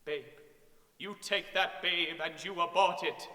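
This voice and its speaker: A man's voice: high voice, takes it slowly, pushed and bright, heavily acted. A man voicing a panicky, weepy, immature man of twenty-eight in an overwrought, melodramatic comic story reading. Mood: demanding. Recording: reverberant hall.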